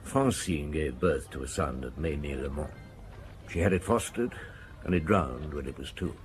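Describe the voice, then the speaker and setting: An actor playing a seasoned, shrewd French police detective in a radio drama, calm, measured and blunt. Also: male voice, a bass voice, soft background music.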